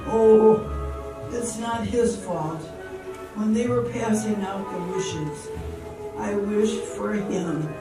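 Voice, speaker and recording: A woman acting as a polite, wistful dancer in an amateur radio drama, gentle and hesitant. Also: medium pitch, extremely slow. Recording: very echoey hall from far off, music.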